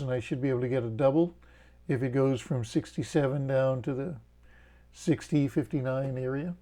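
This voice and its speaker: A middle-aged man from the Bahamas with a Bahamian accent, casually walking through his trades and explaining his rules in a plain, steady way. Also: medium pitch. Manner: plain, steady explaining with fillers and folksy jokes. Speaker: options trading channel host. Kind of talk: casual screen-share walkthrough of trades.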